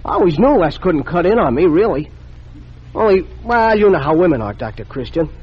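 An excitable high-school boy, with a high, lively, husky voice that swoops up when he gets worked up. It sounds dull and lo-fi, like an old radio broadcast. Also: a little fast. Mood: earnest. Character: eager teenage boy, earnest, excitable. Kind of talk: 1930s radio drama acting.

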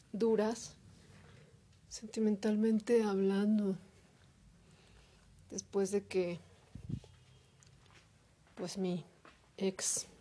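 A woman talking alone in a hesitant, reflective monologue, full of fillers and pauses. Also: medium pitch, mellow, a little hiss or hum. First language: Spanish. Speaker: podcaster. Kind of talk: personal monologue.

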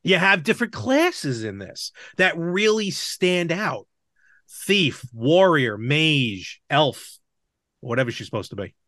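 Animated middle-aged man hosting a podcast. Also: a little high, slow pace, dry recording, clean studio sound. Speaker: podcast host. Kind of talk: casual movie chat.

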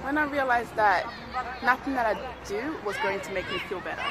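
A woman's voice: on the high side, lively intonation, fairly quick. A young woman from Ghana giving an earnest casual testimony outdoors, often saying "you know". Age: young adult. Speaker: interview guest. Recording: loud street crowd and traffic noise. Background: crowd and traffic.

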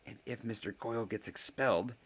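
A man voicing a clever, determined young schoolboy who speaks deliberately in a storybook reading. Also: sits low, lively intonation, a bit bright. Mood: hissing.

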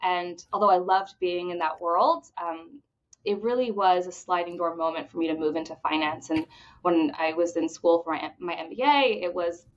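A woman from the Bahamas answering interview questions with enthusiasm, talking quickly and often restarting her phrases. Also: fairly low pitch, slight background noise. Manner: enthusiastic, fast talker with repeated restarts. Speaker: credit union executive, podcast guest. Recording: dull lo-fi audio.

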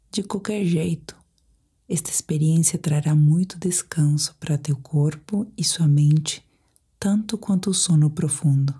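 A Brazilian woman guiding a sleep meditation in a soft, whispery, breathy voice, speaking in a calm, measured, soothing way. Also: low-pitched. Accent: Brazilian Portuguese. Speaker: meditation guide.

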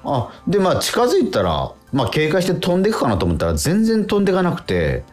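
A middle-aged man hosts a casual radio chat with music playing faintly behind him. His pitch moves around a lot as he tells stories excitably, doubling back on words and acting out reactions. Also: brisk. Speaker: radio show host. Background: faint music bed.

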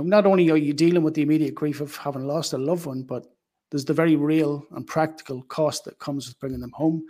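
A man's voice: fairly high pitch, mellow. A man hosting a conversational interview, speaking earnestly and feeling his way through his phrasing. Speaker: podcast host, interviewer.

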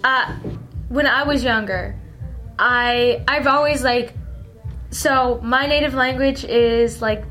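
A woman's voice: fairly high pitch, takes it slowly, sharp tone, faint music behind. A young woman in her late teens, a social media creator, chatting casually as an interview guest and filling her talk with "like". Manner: chatty, lots of "like" fillers, upbeat.

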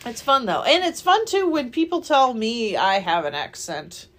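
A woman's voice: fairly high pitch, very animated, normal speed, fairly bright tone. A woman in her thirties with a Southern Ohio accent, co-hosting morning radio in a bubbly, rambling way. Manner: bubbly, rambling, laughs often. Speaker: radio co-host. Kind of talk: casual morning radio chat.

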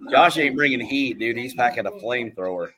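A man co-hosting a live podcast in blunt, teasing casual chat. His voice is mid-pitched, and bar chatter fills the background. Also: brisk. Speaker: podcast co-host. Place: noisy bar during a live show.

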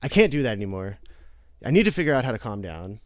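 A man's voice: on the low side, very animated, fast, no room sound, clean studio sound. A man talking as a podcast guest in a casual, reflective way, swearing and often asking "you know what I mean?".

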